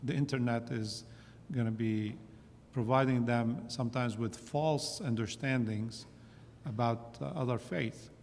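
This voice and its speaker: A man speaking in a measured, thoughtful way as a panelist in a discussion. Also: mid-range voice, a little mellow. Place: church sanctuary. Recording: long church-hall reverb, faint noise.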